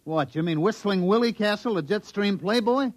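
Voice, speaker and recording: A man's voice: high-pitched, lively intonation, normal speed, no room sound. A man acting a folksy, wry baseball coach in a 1950s radio drama, with dry, colloquial delivery. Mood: surprised.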